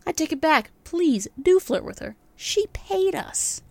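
A woman's voice: very high-pitched, big pitch swings, unhurried, gravelly, a little mellow, no room sound, heavily acted. A woman playing a wisecracking male detective's assistant in a noir audio drama, speaking wryly and teasingly. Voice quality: wry and teasing.

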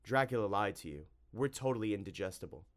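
A man voicing a plucky, quick-thinking young male hero in a storybook, emphatic and cheeky. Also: fairly low pitch, lively intonation, natural voice. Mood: bluffing.

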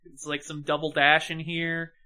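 A woman's voice: low-pitched, flat delivery, moderate pace, sharp tone, clean tone. A woman co-hosting a podcast, giving casual, opinionated film commentary in a wry, sardonic way. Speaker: podcast co-host.

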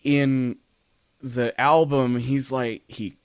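A man's voice: mid-range voice, flat delivery, drawn-out words, a little mellow, clear, resonant tone, dry recording, clean studio sound. A man co-hosting a podcast, casually describing stage shows while searching for words with stammered restarts. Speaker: podcast co-host.

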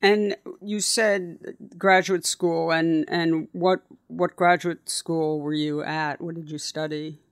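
A man's voice: fairly high pitch, little pitch movement, slow, few pauses, clean tone, no echo, studio quality. A man hosting a podcast interview, talking in a chatty, casual way with frequent restarts. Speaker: podcast host.

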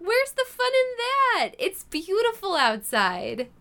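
A woman's voice: very high voice, wildly swooping pitch, takes it slowly, sharp tone, full character voice. A woman acting in an audio drama as a stubborn, sarcastic older sister in her twenties, with dry, blunt comebacks. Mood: playful.

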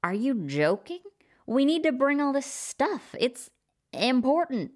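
A woman voicing a brash, hot-headed man who swears and protests loudly. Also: a little high, normal speed, lightly acted. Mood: indignant. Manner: loud and indignant, swearing.

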